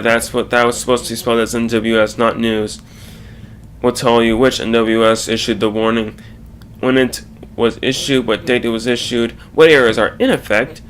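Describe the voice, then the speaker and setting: A man narrating a scripted slide presentation in a halting way, often stumbling and correcting himself. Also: mid-range voice, a little hiss or hum.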